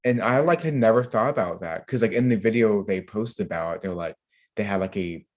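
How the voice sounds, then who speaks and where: Low-pitched man, rambling casually. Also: a little mellow. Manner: rambling, halting, filler-heavy delivery with many "like"s. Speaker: podcast co-host. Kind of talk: casual group chat.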